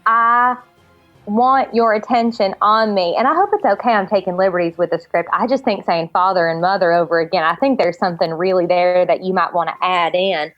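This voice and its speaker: A woman giving deadpan comic notes as a reader on a comedy panel. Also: fairly low pitch, fairly quick, lo-fi sound, soft background music.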